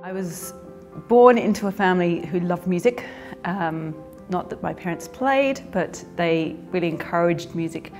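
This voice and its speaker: A woman music educator telling her story in an interview, lively and animated. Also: on the low side, a little slow. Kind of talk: interview answers.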